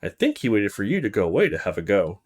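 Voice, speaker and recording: A man's voice: lowish voice, huge pitch swings, fast, voice pushed down. A man voicing a feisty young female university student in a visual novel, blunt and sassy. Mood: annoyed. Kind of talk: visual novel character read-aloud.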